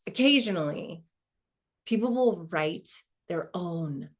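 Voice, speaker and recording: A woman's voice: lowish voice, expressive, takes it slowly. A woman playing a reflective, wry lawyer in a conversational stage monologue about her own life. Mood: wry, amused. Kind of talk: dramatized first-person monologue, conversational.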